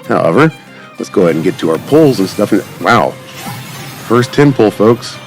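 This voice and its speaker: A man's voice: on the low side, lively intonation, normal speed. A man giving casual, rambling gameplay commentary, talking directly to viewers as a channel host. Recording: background game music.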